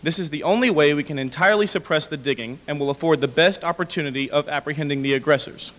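Young man, slightly high pitch, formal letter reading, somewhat halting, reverberant hall. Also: a bit bright. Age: young adult. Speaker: dramatic reader. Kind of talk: formal read-aloud of historical letter. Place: indoor hall with brick walls, small audience. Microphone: podium microphone.

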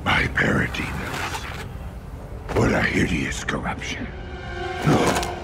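An actor voicing a tyrannical alien overlord in a video game cutscene, with a deep gravelly voice. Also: male voice, slow, loud music behind the voice, lightly acted. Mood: struggling. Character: tyrannical alien overlord turned giddy and sweet.

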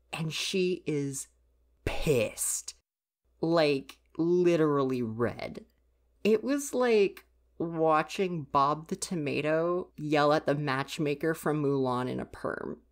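A woman's voice: deep voice, takes it slowly. A young woman playing a grumpy, teasing but secretly caring theater stagehand in a casual ASMR roleplay, sarcastic and chatty. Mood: amused gossiping. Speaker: roleplay voice performer. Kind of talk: ASMR roleplay, casual one-sided dialogue.

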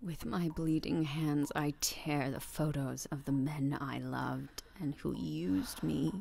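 An actress playing a wounded, vengeful captive woman in a radio play, whispering her monologue in a slow, breathy manner. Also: low-pitched, darkish tone, a little hiss or hum, exaggerated voice.

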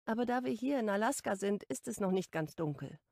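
A woman narrates a romance audiobook in the first person. Her pitch is middling for a woman, with plenty of vocal fry. The recording is dry, with hardly any room sound. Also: expressive, fairly quick, darkish tone, dry recording.

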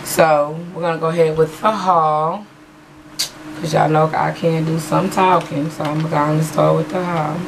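Woman, hoarse, low voice, rambling casual beauty haul chat, noisy webcam audio. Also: steady tone, a little slow. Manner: chatty, rambling with many fillers. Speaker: beauty vlogger. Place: small room at home, patterned backdrop. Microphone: webcam in front of her.

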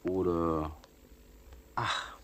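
A man playing the genial narrator of a children's tale, telling it in a wry, expressive scripted style. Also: deep voice, drawn-out words, slight background noise, exaggerated voice.

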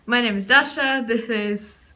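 Young woman host from Russia, bright and crisp tone, chatty, laptop mic at home. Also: a little high, measured pace. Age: young adult. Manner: chatty, explains with hand gestures. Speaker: channel host. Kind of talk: casual chat. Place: small indoor room at home.

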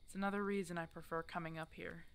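A woman's voice: fairly low pitch, level tone, quick pace, vocal fry. A woman playing a stubborn, protective older werewolf hermit in an ASMR roleplay drama, blunt and teasing in a casual way. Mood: matter-of-fact. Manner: teasing, blunt, casual one-sided dialogue.

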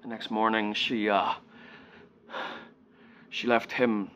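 Guy acting a sad wistful son in a drama monologue, slow n halting, subdued, slight noise. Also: fairly low pitch, flat delivery, fairly bright tone, dull audio. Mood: quiet, sorrowful. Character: grieving, wistful grown son recalling childhood teddy bear.

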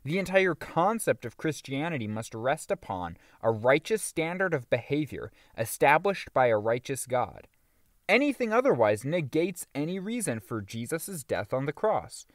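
A man reading a religious audiobook as scripted narration, with emphatic, deliberate phrasing. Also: on the high side, very animated, no echo, studio quality.

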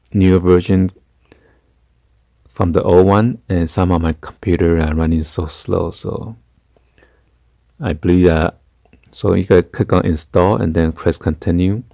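Man, tutorial host, very low mellow voice, halting delivery, casual instruction, close dry sound. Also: vocal fry, no room sound. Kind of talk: casual hands-on tech tutorial. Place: carpeted room indoors.

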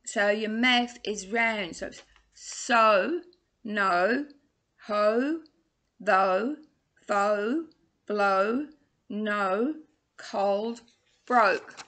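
A woman's voice: mid-range voice, very slow, pauses often, fairly bright tone. A woman in her sixties with an Australian accent carrying a trace of English, teaching singing from a small room at home and carefully enunciating vowel words into a laptop webcam. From England, raised in Australia. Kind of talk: home video singing lesson.

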